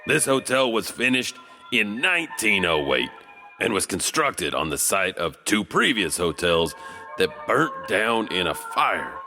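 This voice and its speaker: Slow, eerie janitor narrator. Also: male voice, lowish voice, big pitch swings, pushed and bright, faint music behind. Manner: slow, drawling, with eerie chuckles. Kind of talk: spooky scripted horror narration.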